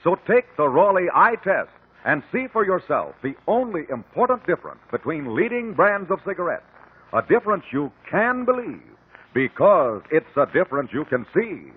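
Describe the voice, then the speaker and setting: A man's voice: high voice, sing-song, moderate pace, slightly rough, phone-quality sound. A man with an American accent announcing an emphatic, scripted 1950s radio advertisement. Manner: emphatic, selling. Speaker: commercial announcer. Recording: band-limited old radio recording.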